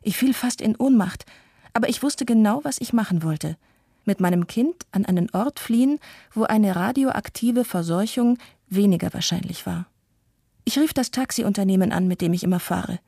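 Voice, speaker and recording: A mellow, slightly husky female voice in a dry recording plays a shaken but resolute mother acted by a woman. She tells her testimony in a measured, sober radio play monologue. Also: medium pitch, no room sound. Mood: sober, grave.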